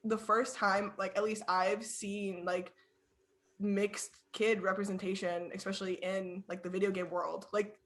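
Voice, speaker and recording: A woman's voice: mid-range voice, fairly bright tone. A young woman co-hosting a podcast in casual chat, talking in loose runs packed with "like" and laughing often. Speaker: podcast co-host.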